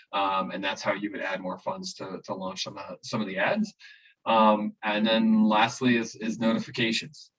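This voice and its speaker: A man giving a live online software walkthrough as a trainer, haltingly, repeating and restarting his phrases. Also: lowish voice, husky. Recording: muffled, distant mic, online meeting.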